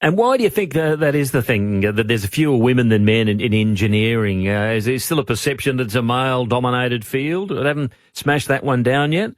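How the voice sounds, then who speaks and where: An Australian man hosts a casual radio interview. His voice sits at a middling pitch, recorded close and dry in a studio. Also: expressive, a little fast, hardly any pauses, dry recording.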